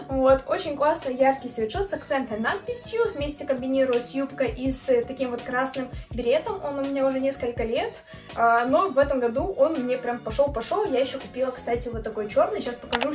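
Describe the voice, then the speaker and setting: A young woman in her twenties talks through her outfits in a chatty, upbeat way, with a high voice. She is picked up by a camera a little way off in an echoey indoor room, with soft music playing faintly underneath. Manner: chatty, upbeat, frequent fillers. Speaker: fashion vlogger. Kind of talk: casual outfit try-on chat. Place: indoor room with clothing racks. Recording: roomy echo with faint music.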